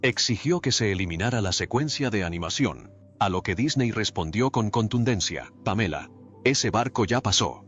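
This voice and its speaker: A man reading a scripted documentary narration in an even, measured way. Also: on the low side. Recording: dull lo-fi voice-over with faint music. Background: faint background music.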